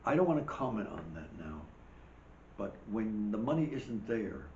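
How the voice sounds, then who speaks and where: An older man delivers a rambling host monologue with emphatic, wry asides. His voice sits low and is wearing thin. The recording is dull and roomy, with a faint hiss underneath. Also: very animated, normal speed, darkish tone. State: losing his voice. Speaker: show host. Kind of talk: monologue commentary. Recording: dull echoey room recording.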